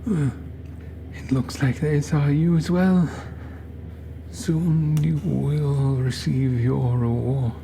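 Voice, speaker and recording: A man voicing a frail, wealthy old cult patron in a horror story, grandiose, with slow and halting speech. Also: fairly high pitch, fairly even intonation, vocal fry, background noise, pitched above the performer's own voice, full character voice. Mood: commanding. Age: older. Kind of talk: horror story character acting.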